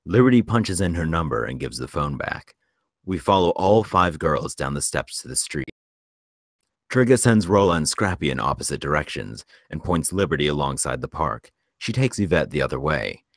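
A man's voice: extremely low pitch, animated, moderate pace, no echo, studio quality. A man reading screenplay stage directions as scripted narration for a table read.

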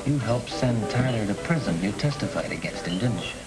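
A low-voiced, determined parolee from a 1930s crime picture, played by a male actor. He is a framed man set on clearing his name, speaking in old Hollywood style on an aged soundtrack with music underneath. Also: animated, a little fast, natural voice, rough recording. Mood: cool, pressing. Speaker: film actor.

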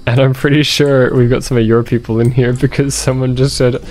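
A man's voice: medium pitch, little pitch movement, fairly quick, a little mellow, faint music behind. A young man, a game streamer, bantering casually and jokingly with a friend, swearing freely into a desk mic indoors. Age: young adult. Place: indoor room at home. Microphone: desk microphone on boom arm, wearing headset.

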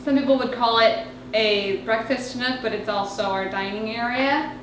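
Young mom vlogger, high-pitched, chatty and wistful, handheld camera in echoey rooms. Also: female voice, moderate pace. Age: young adult. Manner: chatty, wistful, filler-heavy. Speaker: family vlogger. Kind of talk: casual house tour vlog. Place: suburban family house, indoors. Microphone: handheld camera held close. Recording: echoey rooms, faint noise.

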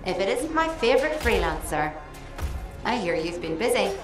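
A woman's voice: medium pitch, very animated, moderate pace, over music, natural voice. An actress playing a familiar, teasing female mechanic in a video game, in an echoey scene.

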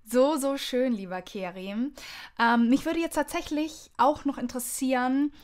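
Female podcast host, fairly high voice, conversational interviewer. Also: unhurried, darkish tone.

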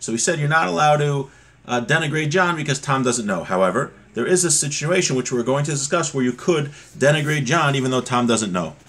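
A man in his thirties teaches religious law informally, explaining texts in a halting, repetitive way, recorded on a computer mic in a small room at home. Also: medium pitch, expressive, a bit bright. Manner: halting, explanatory, repeats points. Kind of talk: informal text study, reading Hebrew passages and explaining them.

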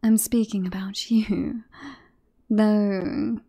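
A woman playing a flirtatious, smug British queen in a fantasy ASMR roleplay, breathy and often whispering, with playful courtly phrasing. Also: medium pitch, fairly even intonation, very slow, mellow, exaggerated voice. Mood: seductive, devoted. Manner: playful, silky, formal courtly wording.

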